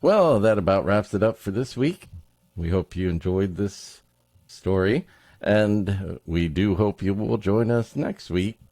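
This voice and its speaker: A man's voice: lowish voice, lively intonation, a little slow, a little mellow, no echo. A man hosting a podcast, trading wry, joking, casual banter. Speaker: podcast host.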